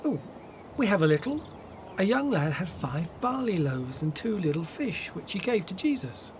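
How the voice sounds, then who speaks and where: Brisk, insistent male disciple, played by a man. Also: high voice, big pitch swings, background noise. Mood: trusting. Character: Andrew, brisk, organizing, trusting male disciple. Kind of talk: Bible radio drama acting.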